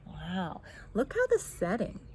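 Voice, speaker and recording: A woman reads a picture book aloud expressively, pausing to put guiding questions to young listeners. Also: medium pitch, wildly swooping pitch, mellow. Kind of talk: expressive children's read-aloud with asides. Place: outdoors in a backyard garden. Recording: outdoor recording, faint noise, birdsong. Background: birdsong, faint outdoor noise.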